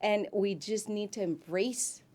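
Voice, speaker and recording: A woman who grew up in Miami, an actress guest chatting in an interview, warm and effusive, with frequent ums and restarts. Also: mid-range voice, measured pace. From grew up in Miami, United States. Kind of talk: casual interview chat.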